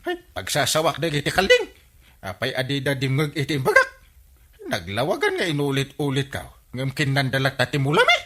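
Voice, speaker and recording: An actor playing a flustered, bumbling village captain in an Ilocano radio comedy drama, exclaiming and stammering with an Ilocano accent. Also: male voice, mid-range voice, wildly swooping pitch, brisk, pushed and bright, heavily acted. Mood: worried. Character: blustering, excitable village captain, a comic bumbler.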